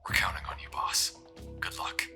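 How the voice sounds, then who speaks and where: A man playing a secretive, measured military officer giving a loyal, deliberate mission briefing in a cinematic audio roleplay. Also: bright, forceful tone, whispered. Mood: earnest, confiding. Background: music.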